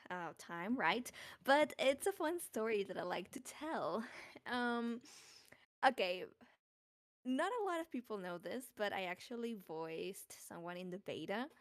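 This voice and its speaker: A woman whose first language is Spanish, a voice actress telling her story in a casual live-stream Q&A, chatty and excitable. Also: mid-range voice. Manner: chatty, excitable, laughs often. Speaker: voice actress guest.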